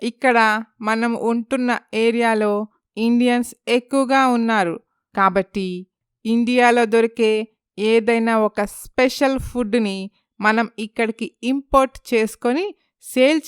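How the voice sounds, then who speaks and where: A woman playing an anxious, blaming businesswoman in an animated story, speaking insistently. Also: a little high, a little slow, clean tone, no room sound, studio quality, lightly acted. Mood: reproachful. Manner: reproachful, insistent. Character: blaming, anxious businesswoman wife living abroad.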